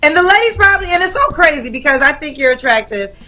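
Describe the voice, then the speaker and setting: A woman's voice: high voice, sing-song, fast talker, bright, forceful tone. A woman hosting a live dating show, direct and bossy with callers, calling them honey and baby.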